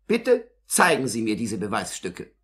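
An actor playing an arrogant, scheming heir in a 1950s German radio play, curt, defiant and challenging. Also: male voice, on the low side, wildly swooping pitch, measured pace, fairly bright tone, exaggerated voice.

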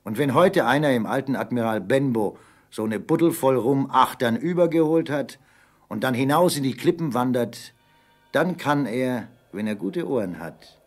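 Male storyteller with a medium-pitched voice. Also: lively intonation, moderate pace. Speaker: narrator. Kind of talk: scripted dramatic storytelling in German audio play.

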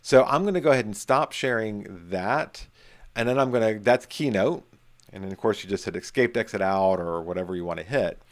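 A man's voice: on the low side, fairly quick. A middle-aged man giving a casual, chatty screen-share tutorial, talking from a home office into a webcam and leaning on emphatic repeated words. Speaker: instructor.

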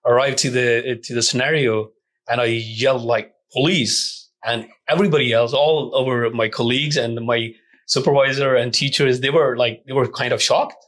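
A man, a police constable, telling stories in a studio interview with lively laughs and frequent fillers. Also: medium pitch, normal speed. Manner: animated storytelling with laughs and hesitant fillers. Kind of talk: interview answers.

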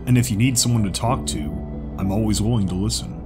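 A gentle, world-weary male zebra played by a man speaks low and earnestly in an audiobook drama. His delivery is measured and reassuring, with clear music underneath. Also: steady tone, lightly acted. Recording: music behind the voice.

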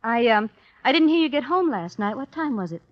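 An actress playing an anxious, gentle young wife in a 1950s radio drama, speaking hesitantly. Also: female voice, a little high, sing-song, fairly quick. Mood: tentative, worried. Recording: muffled 1950s radio recording.

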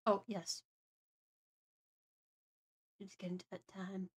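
A woman hosts a livestream in a casual, playful way. Her voice sits at an ordinary middle pitch, and she makes easy, chirpy small talk. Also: flat delivery, slow, husky. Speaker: livestream host.

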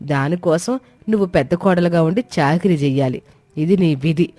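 A woman's voice: sits low, moderate pace, warm tone, no echo, natural voice. A woman voicing a bossy, cruel older mother-in-law in a dramatised moral story, sneering and commanding. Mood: cold, scornful. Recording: faint music behind.

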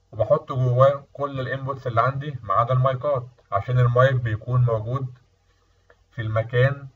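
A male instructor with an Egyptian accent explains technical material in a lecture style. His voice is mid-pitched and slightly rough, and he poses questions that he then answers. The recording sounds dull, as if the mic sits some way off. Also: distant mic. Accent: Egyptian Arabic. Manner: asks and answers own questions.

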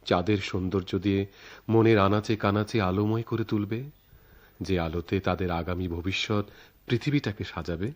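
Actor as deep-voiced romantic young poet, Bangladeshi. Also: male voice, a little fast, lightly acted. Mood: sorrowful, idealistic. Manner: poetic, earnest, declamatory. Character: romantic, idealistic young male writer, devoted eloping lover. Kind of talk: theatrical radio drama acting.

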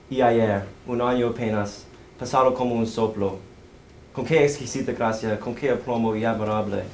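A man's voice: medium pitch, a little mellow. A young adult male student reciting poetry in a declamatory way, with occasional stumbles. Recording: echoey room with background noise.

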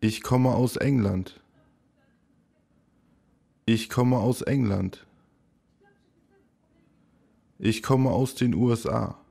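A man reading short phrases slowly and carefully, each one repeated three times, for a language lesson. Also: medium pitch, creaky, warm tone. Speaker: language teacher. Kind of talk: slow repeated phrase drill.

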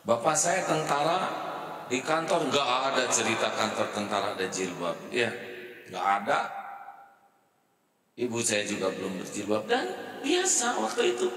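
A middle-aged man giving a casual sermon into a handheld microphone, often asking the audience to agree and moving between soft and loud. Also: medium pitch, big pitch swings, normal speed, pauses often. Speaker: preacher. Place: large mosque hall, indoors. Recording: echoey hall from far off.

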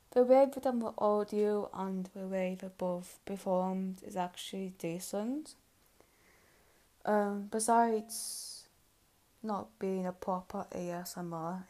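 A woman gives casual reaction commentary as host. She talks slowly and hesitantly at an average pitch, working out her opinion as she goes. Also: dark tone. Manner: hesitant, thinking aloud.

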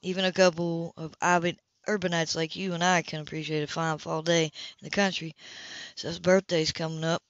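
A woman's voice: low voice, little pitch movement, normal speed, no room sound, dull audio, close to an everyday voice. A woman reading the lines of a confident, easygoing, teasing man in an amateur audiobook. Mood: easygoing, conversational.

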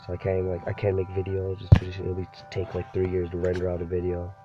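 A man's voice: deep voice, monotone, quick pace. A young man with a cold, rambling casually over gameplay as a gaming YouTuber and saying "dude" a lot. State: sick, voice deeper than usual. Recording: dull lo-fi recording with game music behind. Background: game music.

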